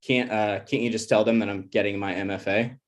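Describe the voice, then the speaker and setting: A man's voice: lowish voice, steady tone, a little fast, natural voice. A man playing a sensitive, jokey twenty-six-year-old boyfriend in a screenplay table read, casual and self-deprecating.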